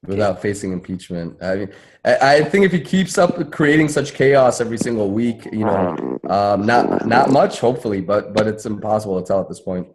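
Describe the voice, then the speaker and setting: A man's voice: fairly low pitch, animated, moderate pace, a little mellow, slight background noise, low-quality recording. A man, a lawyer on a panel, explaining legal points in a conversational way. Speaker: panelist, civil rights lawyer.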